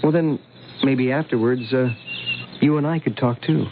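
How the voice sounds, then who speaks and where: An actor playing a smitten, scheming suitor in a vintage radio drama, with an intense, ingratiating and hesitant manner. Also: male voice, mid-range voice, normal speed, background noise, natural voice. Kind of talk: vintage radio drama acting and first-person narration. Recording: tinny band-limited old radio recording.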